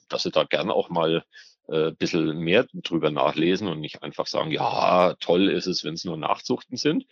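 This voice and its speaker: Very deep, gravelly man explaining. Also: no echo, muffled recording. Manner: hesitant, searching, repeats words while explaining. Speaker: expert guest, biologist on ornamental fish trade. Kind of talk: spoken interview answers, explanatory.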